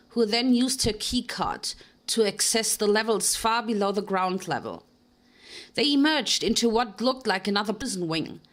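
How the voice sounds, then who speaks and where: A woman reads a fan fiction story aloud in a dramatic reading. Her narration is steady and measured, in a voice of middling pitch for a woman. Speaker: reader.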